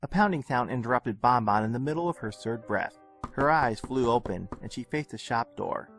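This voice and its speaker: Animated man narrating a story. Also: medium pitch, moderate pace. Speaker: audiobook-style reader of fan fiction. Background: background music.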